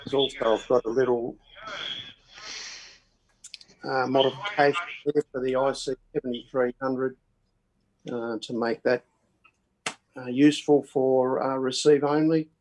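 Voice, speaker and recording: A man's voice: mid-range voice, steady tone, unhurried, long pauses, a little mellow, low-quality recording. An Australian man introducing himself to a ham radio net from a tablet on a video call, friendly and hesitant, with lots of filler words.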